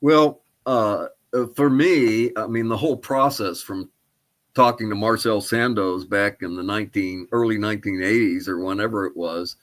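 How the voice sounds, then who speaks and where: An older man answering interview questions as a historian, speaking in a halting, thoughtful, tentative way. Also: lowish voice, fairly bright tone. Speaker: archaeologist and historian guest. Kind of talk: interview answers about research.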